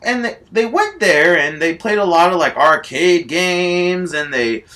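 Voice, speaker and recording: Male amateur storyteller: bright, slightly high voice, rambling off-the-cuff comic tale, excitable and repetitive. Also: unhurried. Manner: excitable, giggly, repetitive filler phrases like "you know" and "all that stuff". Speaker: amateur storyteller in a homemade video.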